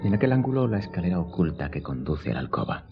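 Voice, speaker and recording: Grave, deep-voiced, enigmatic count. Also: male voice, very animated, creaky, full character voice. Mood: brooding. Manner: solemn, measured, sententious. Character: grave, commanding count, calm and enigmatic nobleman. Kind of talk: radio drama acting. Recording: dull lo-fi sound, faint music behind.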